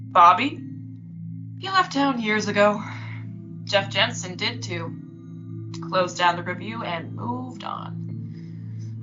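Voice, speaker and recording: A woman's voice: medium pitch, natural voice. A woman playing a knowing, folksy small-town local telling a matter-of-fact story in an audio horror drama. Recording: slightly dull, lo-fi audio. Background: music.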